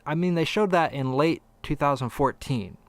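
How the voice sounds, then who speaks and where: A man's voice: a little high, normal speed, no room sound. A man co-hosting a casual, opinionated gaming discussion, rambling and a little hesitant with half-finished thoughts. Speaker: podcast co-host.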